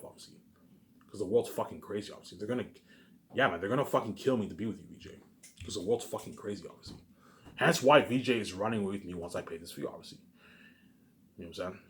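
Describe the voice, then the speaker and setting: Man, fairly low voice, rapid pace, casual vlog rambling, repetitive, sweary, emphatic bursts. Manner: swings between loud emphatic bursts and quieter asides. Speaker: vlogger.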